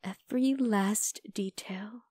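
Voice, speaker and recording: A woman playing a possessive, bloodthirsty vampire girl in an ASMR roleplay, talking intimately to the listener and slipping into breathy whispers. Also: mid-range voice, takes it slowly, dark tone, no room sound, studio quality. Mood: enraptured, breathy.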